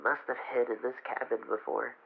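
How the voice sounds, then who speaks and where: A man playing a frightened young fox boy who records a halting, weary log in an audio drama. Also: medium pitch, flat delivery, vocal fry. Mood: weary, grave. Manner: halting, hesitant, weary delivery. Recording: muffled noisy handheld radio recording.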